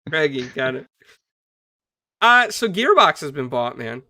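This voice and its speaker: A man's voice: a little high, sing-song, unhurried, bright, forceful tone. A man hosting a casual gaming podcast, upbeat and greeting viewers by name. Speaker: podcast host.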